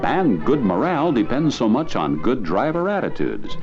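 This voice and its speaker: Male film narrator, creaky and theatrical, deliberate delivery, music underneath, dull 1980s film soundtrack. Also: mid-range voice, sing-song. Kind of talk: dramatic scripted narration.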